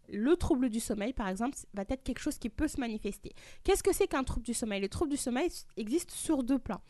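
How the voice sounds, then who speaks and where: A woman's voice: high voice, a little mellow, no room sound. A woman hosting a group talk, explaining terms step by step with everyday examples. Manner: didactic, walks through definitions with examples. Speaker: host. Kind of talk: explaining to a panel.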